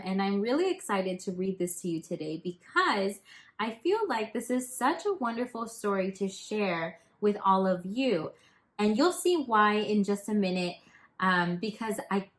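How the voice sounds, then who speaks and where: A woman teacher presenting and reading a children's storybook aloud in an expressive, emphatic storytelling manner. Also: mid-range voice.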